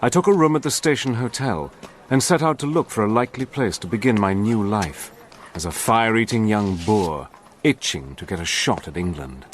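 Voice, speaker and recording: An actor playing a cool, determined wartime adventurer, telling his own story in measured, dramatic narration. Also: lowish voice, expressive, a little hiss or hum, natural voice. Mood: measured, reflective. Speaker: narrator and lead character. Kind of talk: dramatic first-person scripted narration.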